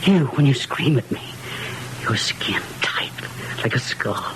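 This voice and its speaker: A man playing a weary, uneasy husband in an old-time radio horror drama, speaking in a halting, tense way. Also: mid-range voice, lively intonation, a bit bright, in a whisper, low-quality recording. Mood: horrified, hushed.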